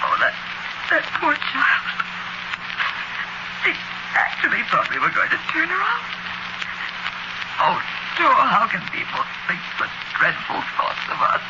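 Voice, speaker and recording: Warm-hearted emotional housewife, very lively intonation, 1940s radio drama, noisy vintage recording. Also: female voice, sits high, measured pace, long pauses, highs cut off, full character voice. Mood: upset. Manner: effusive, emotional.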